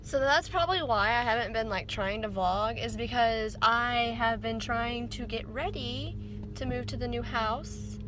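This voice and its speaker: A woman's voice: on the high side, unhurried. A young woman, talking casually to her phone camera in her car, rambling and bubbly with lots of "like" fillers. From Texas. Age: young adult. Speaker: vlogger. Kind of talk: casual chatty vlog. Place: parked and driving car. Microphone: phone camera held at arm's length. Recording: phone audio with car and street noise.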